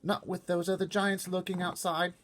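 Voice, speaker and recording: A man's voice: high-pitched, flat delivery, normal speed, fairly bright tone, pitched above the performer's own voice. A small girl from a storybook, played by a man, speaks up in a raised, light voice. She is anxious yet outspoken. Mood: worried.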